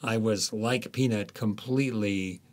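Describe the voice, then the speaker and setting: A man speaking as an author being interviewed, explaining reflectively with deliberate, halting phrases and frequent pauses. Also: lowish voice. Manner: halting, deliberate, pausing often.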